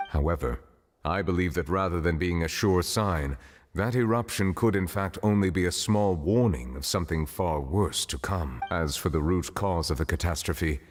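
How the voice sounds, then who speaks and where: Deep-voiced solemn man of authority played by an actor. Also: a little hiss or hum, lightly acted. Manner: formal, measured, pedantic. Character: solemn, composed man of authority explaining hidden history. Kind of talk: game story dialogue, formal exposition.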